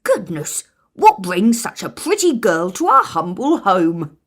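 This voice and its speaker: A woman's voice: mid-range voice, wildly swooping pitch, measured pace. A woman voicing a friendly, welcoming dwarf man in a children's fairytale, exclaiming in a jolly way. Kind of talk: children's storybook character voice.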